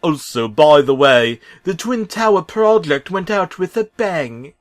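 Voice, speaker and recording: A man voicing a cheerful cartoon builder turned raving fanatic, with a put-on British accent, loud and drawn-out. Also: on the high side, expressive, voice pushed up. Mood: cheery.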